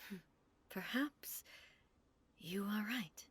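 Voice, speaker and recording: An actress playing a composed, solemn and reserved female ruler with a gentle manner in a video game. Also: medium pitch, extremely slow, a little hiss or hum. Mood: pensive.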